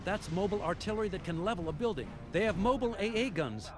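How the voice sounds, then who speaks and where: High, animated military aide. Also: male voice, fast talker, music in the background. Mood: alert, concerned. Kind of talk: military video game dialogue.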